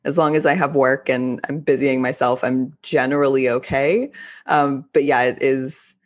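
A woman's voice: a bass voice, fairly even intonation, normal speed. A young woman from Texas with a mild Texan accent, hosting a podcast in a chatty, effusive way. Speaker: podcast host. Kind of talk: casual solo podcast talk.

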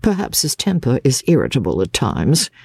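A woman narrating classic literature as a dramatic audiobook, in a measured, deliberate and expressive way. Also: a bass voice, creaky, slightly rough, dry recording.

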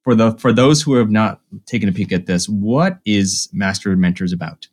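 Low-voiced enthusiastic podcast host man. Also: lively intonation, darkish tone. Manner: friendly, enthusiastic, quick asides. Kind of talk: casual interview hosting.

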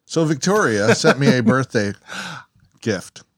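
A man's voice: medium pitch, very animated, moderate pace, dry recording, studio quality. Man joking around on a podcast. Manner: joking, rambling with many restarts. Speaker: podcast co-host. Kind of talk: casual banter. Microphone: headphones and mic stand.

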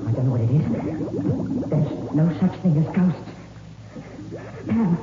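An actor playing a ruthless, curt and domineering criminal diver in a 1960s radio thriller. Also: male voice, a little high, lively intonation, a little slow, very noisy. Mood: rattled, fearful. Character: ruthless, impatient male diver and murderer. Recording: echoey, tinny old radio recording.

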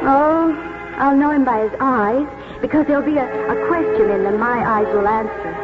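A woman's voice: high-pitched, animated, moderate pace. An actress in a 1940s radio drama playing an eager, romantic young woman schoolteacher with an earnest, dreamy way of talking. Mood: dreamy, excited. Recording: tinny 1940s radio recording with music.

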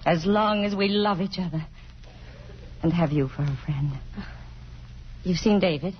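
A woman's voice: on the low side, a little slow, slight background noise, natural voice. An actress playing a romantic, idealistic woman in love, ardent and playful in a theatrical old radio drama style. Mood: affectionate. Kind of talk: 1950s radio drama acting. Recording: muffled old radio broadcast.

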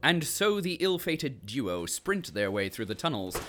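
A man's voice: mid-range voice, very animated, measured pace, slight background noise. Male narrator, dry, mock-grand. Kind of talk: wry scripted narration. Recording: loud music, voice off-mic.

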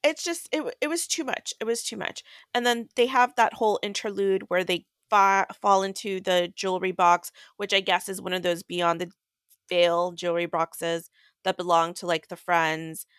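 Woman, slightly low voice, casual podcast recap, exasperated, blunt, sweary, dry sound. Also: fairly even intonation, moderate pace, fairly bright tone, smooth, no room sound. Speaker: podcast co-host. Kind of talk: casual book recap and commentary.

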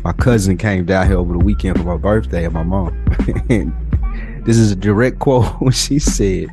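A man's voice: sits low, normal speed, music in the background. A man co-hosting a casual podcast, talking bluntly with heavy slang and swearing. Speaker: podcast co-host.

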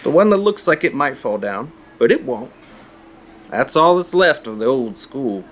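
An actor playing a middle-aged small-town cafe cook in an audio drama, helpful, folksy and plain-spoken. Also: male voice, mid-range voice, big pitch swings, moderate pace.

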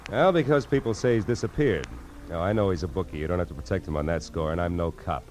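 An actor playing a wry, hard-boiled private detective in a 1940s radio noir, narrating and talking in cool slang. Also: male voice, sits low, fast, darkish tone, close to an everyday voice. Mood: tough, brisk. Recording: old radio recording with music.

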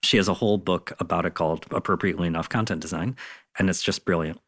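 A man's voice: low voice, fast talker, a bit bright. A man speaking as an interview guest, explaining things in an enthusiastic, conversational and emphatic way. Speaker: interview guest, content designer.

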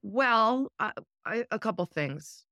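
A woman's voice: fairly high pitch, lively intonation, takes it slowly, pushed and bright, no room sound, studio quality. A woman speaking as a guest in a casual political conversation. She is emphatic and indignant and swears for emphasis. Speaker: guest commentator.

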